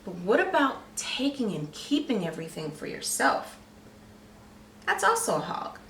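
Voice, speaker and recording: Female early childhood read-aloud teacher: high, animated, expressive and questioning, echoey room. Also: normal speed, gravelly, slight background noise. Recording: roomy, echoey, slightly distant microphone.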